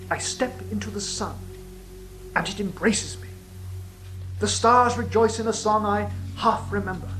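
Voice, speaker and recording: An actor playing the sly, wistful serpent of Eden in a theatrical monologue, confiding and musing, shifting between hushed and declamatory delivery. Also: medium pitch, lightly acted, low-quality recording. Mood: wistful, dreamy.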